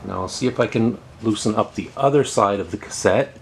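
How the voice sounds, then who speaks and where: Lower-pitched man narrating a how-to. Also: fairly quick, vocal fry, slight background noise. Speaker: host of a how-to tech repair video. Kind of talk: casual hands-on tutorial narration.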